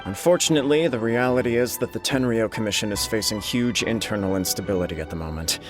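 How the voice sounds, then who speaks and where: An actor playing a dutiful young noble heir, formal and earnest, weighed down by duty. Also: male voice, on the low side, fast talker, close to an everyday voice. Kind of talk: game cutscene voice acting. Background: game music.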